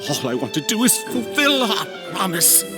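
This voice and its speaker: Casting: grieving, cornered game villain, high voice swinging wildly, pleading over loud music. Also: male voice, very animated. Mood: anguished pleading. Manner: distraught, pleading, halting. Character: desperate grieving man, cornered villain mourning lost lover. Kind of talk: anime game voice acting.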